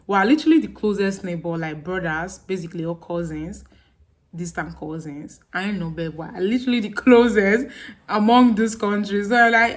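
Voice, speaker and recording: A young woman with a Nigerian accent, chatting casually to camera on her vlog. She acts out her friends' questions with laughter. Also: medium pitch. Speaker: vlogger.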